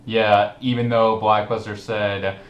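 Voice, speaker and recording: A man's voice: on the low side, level tone, measured pace. A man hosting a casual group commentary chat, talking informally with friends. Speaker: host. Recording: roomy echo with some background noise.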